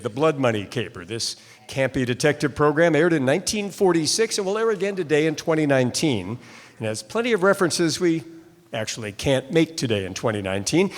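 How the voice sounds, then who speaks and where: A man hosting on stage, introducing a radio play to a live audience. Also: a little high. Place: stage of a large hall. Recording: echoey hall, live stage.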